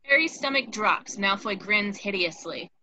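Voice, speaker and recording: Bright-voiced woman reading stage directions. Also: medium pitch, animated, normal speed, low-quality recording. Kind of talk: scripted narration in a table read. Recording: faint background noise.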